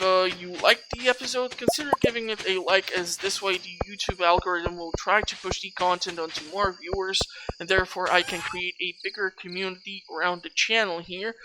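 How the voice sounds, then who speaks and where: Loud game music plays behind a mid-pitched gamer's voice. The speaker hosts a let's play in a casual, rambling way, chatting along as if to themselves. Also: poor audio. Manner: rambling, talking to self. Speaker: gaming video creator, host. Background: video game music.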